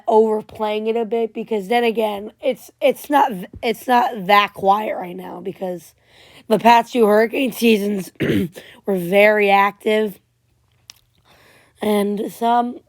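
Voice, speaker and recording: Hoarse woman giving a halting weather update. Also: medium pitch, fairly even intonation, darkish tone, no room sound. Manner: halting, many restarts and fillers. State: losing voice, frequent throat clearing. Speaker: amateur weather forecaster. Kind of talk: casual tropical weather update over model maps.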